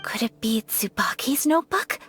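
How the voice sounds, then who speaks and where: An actress voicing a polite, graceful, reserved young noblewoman who speaks in a formal, soft, measured way in a video game. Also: fairly high pitch, lively intonation. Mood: pensive. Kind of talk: video game cutscene dialogue. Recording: music behind the voice.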